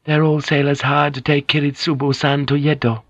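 A man's voice: a little high, level tone, normal speed, fairly bright tone, no room sound, muffled recording, voice pushed up. A man voicing a young Japanese noblewoman, an earnest interpreter who speaks politely and with formal care. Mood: polite, measured. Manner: formal, careful phrasing.

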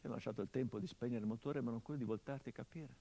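An actor playing a middle-aged son who mourns his murdered father, in a theatrical monologue that swings from hushed murmurs to impassioned outbursts, sardonic and biting. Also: medium pitch, very fast, gravelly. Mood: bitter. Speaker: stage actor.

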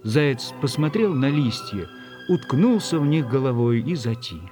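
Male narrator, scripted story reading, expressive, measured, medium pitch, light background music.

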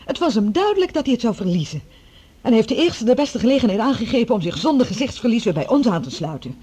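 A woman's voice: fairly high pitch, very animated, fast talker, warm tone, husky. An actress playing a proud, suspicious young woman, curt and defensive, in a radio drama. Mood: defensive, cool.

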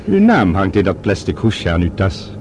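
A man acting a smooth-talking, courteous older doctor in a Dutch radio drama, formal and solicitous. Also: low-pitched, wildly swooping pitch, moderate pace, a little mellow. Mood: affable, attentive. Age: middle-aged or older. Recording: old radio drama with clear background noise.

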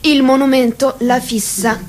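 A woman acting as the wry narrator of a political satire for radio theatre, measured and theatrical with dramatic pauses. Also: sits high, little pitch movement, darkish tone.

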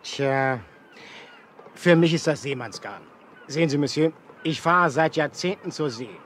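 An actor playing a blunt, skeptical, seasoned harpooner in a seventies German adventure radio play, plain-spoken and sure of himself. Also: male voice, a little high, unhurried, a bit bright. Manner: plain-spoken, self-assured. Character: seasoned, skeptical male harpooner, blunt old sea dog. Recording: noisy 1970s vinyl radio play.

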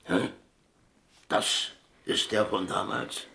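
An actor playing a gruff, stubborn, wry German ex-boxer who talks in dry colloquial remarks in a radio play. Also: male voice, fairly low pitch, fairly even intonation, slow pace, pushed and bright, heavily acted.

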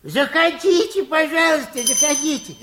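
A male actor playing a kindly, eccentric pharmacist who schemes excitedly, stammering and repeating himself in an old radio play. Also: very high-pitched, moderate pace, background noise. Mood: flustered. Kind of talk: 1960s Soviet radio play acting. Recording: old radio drama with music.

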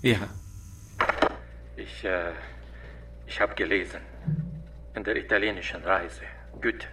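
Low, halting, fearful refugee father. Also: steady tone, pushed and bright, heavily acted. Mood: anxious, subdued. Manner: halting, subdued. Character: guarded, fearful Syrian refugee father under police questioning. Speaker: actor in a radio drama. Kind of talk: naturalistic radio drama dialogue. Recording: distant, faint noise.

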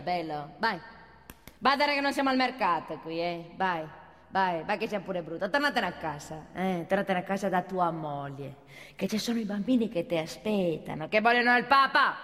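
An actress playing a brazen, sharp-tongued transgender street prostitute who cajoles and mocks in a theatrical radio monologue. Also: female voice, mid-range voice, lively intonation, normal speed, natural voice. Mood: scornful, angry. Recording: reverberant theatre hall, music behind.

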